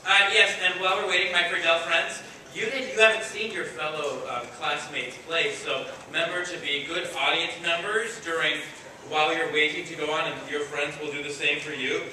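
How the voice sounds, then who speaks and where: A man's voice: fairly high pitch, steady tone, moderate pace, pushed and bright. A man acting as director and narrator of a children's school play, announcing informally and coaching the children with playful encouragement. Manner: encouraging, playful, gives simple step-by-step instructions to children. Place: large echoey room before an audience. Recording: echoey hall from far off, clear background noise.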